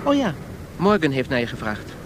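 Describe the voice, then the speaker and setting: A man's voice: mid-range voice, wildly swooping pitch, normal speed. A man playing a wry, cautious associate of a tycoon in a Dutch radio drama, familiar and dryly witty. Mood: wry, curious. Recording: noisy old radio drama recording.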